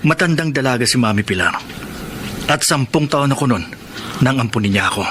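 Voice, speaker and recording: A man's voice: medium pitch, lively intonation, brisk, background noise, lightly acted. An actor playing a callous young adopted son who persuasively coaxes his sweetheart into a murder plot, in Filipino radio drama style. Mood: scheming.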